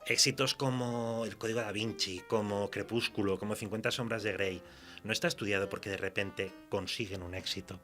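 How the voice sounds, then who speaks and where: A man from Spain with a fairly low voice speaks as a guest writer in a radio interview. He has a Spanish accent and a colloquial, chatty way of spinning anecdotes. There is some music with the voice. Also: steady tone, fairly quick, bright, forceful tone. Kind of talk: informal radio interview answers.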